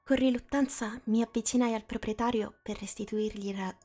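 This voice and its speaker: A woman's voice: fairly high pitch, steady tone, normal speed, warm tone, soft background music. A woman reading a first-person story aloud in a live group reading, in a casual setting.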